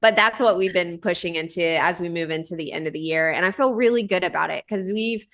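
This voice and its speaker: A woman's voice: fairly low pitch, brisk, a bit bright. A woman in her thirties, a company founder answering interview questions in an earnest, conversational way, with many fillers and restarts. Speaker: podcast guest, company founder.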